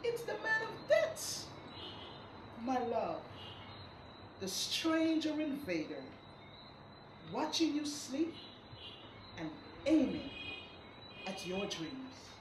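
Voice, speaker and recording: A young woman performs a poem dramatically and slowly, her pitch swinging widely. The room is echoey and clearly noisy. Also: very animated. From Guyana. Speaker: performance poet. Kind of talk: dramatic poetry recital. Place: indoor live recital event.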